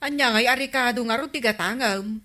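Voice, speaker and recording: A woman's voice: fairly high pitch, fairly quick, bright, forceful tone. A woman playing a chatty, teasing village store woman in a comedy radio drama, full of questions, with an Ilocano accent. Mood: puzzled.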